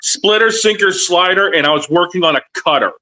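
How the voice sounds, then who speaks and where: A man's voice: high voice, brisk, sharp tone, low-quality recording. A man co-hosting a sports broadcast with casual banter, often repeating phrases for emphasis.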